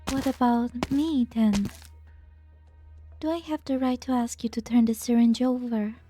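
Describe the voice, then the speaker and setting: A woman voicing an authoritative site director, measured and demanding. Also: fairly high pitch, fairly even intonation, dark tone, natural voice. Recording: dry close mic, faint music.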